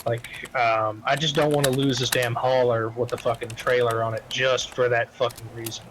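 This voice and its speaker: Male gamer, mid-pitched, loose rambling talk full of cursing, slight background hiss and keyboard. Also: little pitch movement, moderate pace. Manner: heavily profane, rambling. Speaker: roleplay game player. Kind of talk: casual online game chat. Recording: faint background noise, keyboard typing.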